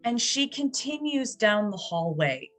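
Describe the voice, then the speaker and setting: A woman improvises a horror roleplaying story at a very slow pace, with casual asides. Her voice sits at a medium pitch, with faint music behind it. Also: very animated, a bit bright. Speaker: player or storyteller in a horror tabletop game. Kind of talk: improvised narration and casual chat in a tabletop game.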